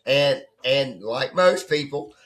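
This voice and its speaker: A hoarse man who has nearly lost his voice, talking as a trading coach in a rambling, conversational way and often checking in with "right?". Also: mid-range voice, animated, takes it slowly, a bit bright. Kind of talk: casual off-the-cuff coaching talk. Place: at his computer desk.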